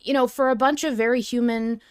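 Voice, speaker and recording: A woman's voice: fairly high pitch, fairly even intonation, fairly quick, smooth, no room sound, clean studio sound. A woman co-hosting a podcast, chatting casually in an emphatic, opinionated, sweary way. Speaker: podcast co-host. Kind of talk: casual fan discussion chat.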